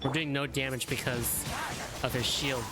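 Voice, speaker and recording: A man's voice: medium pitch, little pitch movement, moderate pace. A young man giving casual reaction commentary as he streams a video game. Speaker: gaming streamer. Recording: game music playing behind voice. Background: loud game music and sound.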